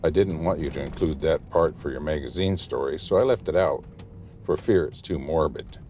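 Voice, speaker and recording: A man playing a grave, cautious small-town guide in an audio drama, speaking in a formal, measured way. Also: rumbling low, darkish tone, slight background noise. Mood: grave, warning.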